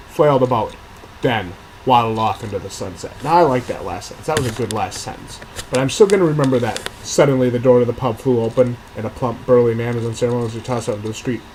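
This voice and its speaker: A man's voice: mid-range voice, darkish tone, poor audio. Man reading fiction over background noise. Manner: thinks aloud, rereading and revising sentences. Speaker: author reading own novel draft and commenting on edits. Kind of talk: narrated fiction reading with casual editing asides.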